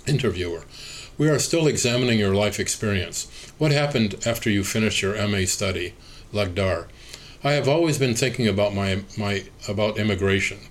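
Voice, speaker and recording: A man reading an oral history interview transcript aloud at a measured, even pace, speaker labels included. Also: fairly low pitch, rough recording.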